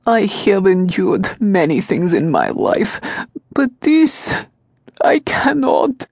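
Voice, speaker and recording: A woman voicing a grieving old female servant who pleads tearfully, her voice rising high. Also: wildly swooping pitch, slow, vocal fry, darkish tone, no echo, clean studio sound, heavily acted. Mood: distraught.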